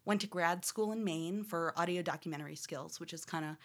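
Lowish-voiced woman, neutral American radio voice. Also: fairly quick. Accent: none, neutral American. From Louisiana, raised in upstate New York. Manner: chatty, laughs often, trained radio delivery. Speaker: audio journalist guest. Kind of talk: casual interview conversation.

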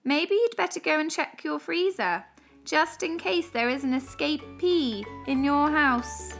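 A woman reading a children's storybook as an expressive storyteller. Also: high-pitched, unhurried. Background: music.

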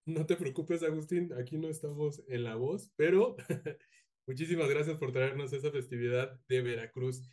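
A man hosts a casual chat. He hands the floor to his guests in a friendly way. His voice is mellow and slightly high. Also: moderate pace. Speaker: podcast host.

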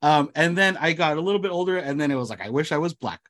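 Animated man telling stories, many restarts. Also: on the high side, fast talker. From United States. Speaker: podcast co-host. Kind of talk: casual chat.

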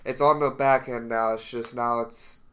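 A man's voice: medium pitch, fairly even intonation, moderate pace, a bit bright. A young man co-hosting a casual podcast with a stuffy nose from a cold, talking in a loose, rambling way. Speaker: podcast co-host. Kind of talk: casual chat.

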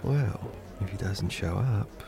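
A man acting in an audio drama as a lecherous, pushy man in a pub, with a gravelly voice. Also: deep voice, slow pace, mellow, exaggerated voice. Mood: leering.

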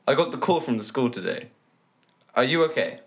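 Actor as evasive dad: mid-range voice, guarded, pressing, outwardly reassuring, radio drama. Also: male voice, mid-range voice, sing-song, a little fast, creaky.

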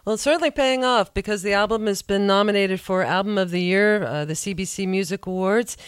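A woman's voice: mid-range voice, fairly quick, smooth, no echo, studio quality. A woman hosting a radio interview, asking friendly, curious questions. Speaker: radio host.

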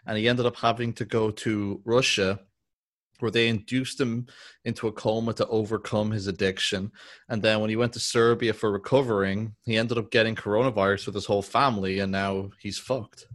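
Low-voiced man in blunt casual chat. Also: fairly even intonation, a little fast. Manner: swears a lot, blunt and joking. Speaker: podcast host. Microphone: podcast microphone.

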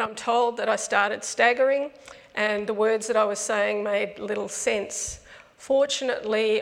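A woman's voice: mid-range voice, creaky, big-room sound. A woman pastor giving a conversational sermon, her voice strained from asthma. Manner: conversational storytelling preaching.

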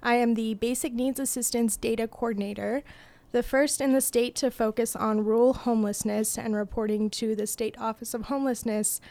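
A woman's voice: a little high, fairly even intonation, moderate pace, warm tone, dry recording. A woman in her twenties introducing herself in an interview, matter-of-fact as she lists her work. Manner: matter-of-fact, listing credentials. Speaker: guest.

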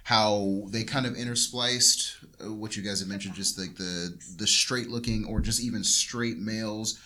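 A man's voice: fairly low pitch, steady tone, normal speed, bright, forceful tone. A young man hosting a casual podcast discussion, rambling and correcting himself as he talks. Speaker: podcast host. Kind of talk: casual panel chat.

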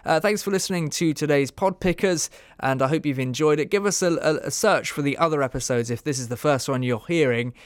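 A man's voice: a little high, fairly quick, hardly any pauses, clear, resonant tone, no room sound, clean studio sound. A man hosting a podcast, casually giving thanks, plugs and sign-offs. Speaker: podcast host.

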